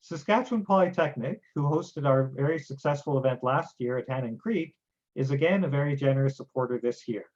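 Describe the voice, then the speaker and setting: A man's voice: medium pitch, a little fast, darkish tone. A man hosting an awards ceremony, reading out names and titles carefully with occasional fillers. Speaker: event host. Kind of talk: formal ceremony introductions. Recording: dull lo-fi sound.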